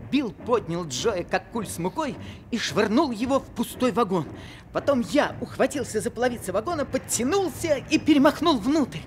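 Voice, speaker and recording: An earnest teenage boy tells his own story in an old radio play. His voice sits fairly high, and his narration is measured and sincere. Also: expressive, a little hiss or hum. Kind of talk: scripted narration in radio drama.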